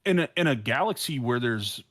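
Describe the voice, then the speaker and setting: A man's voice: medium pitch, lively intonation, brisk, a bit bright. A man in a casual podcast discussion, speaking informally and emphatically, often repeating words as he works out his point. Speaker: podcast panelist.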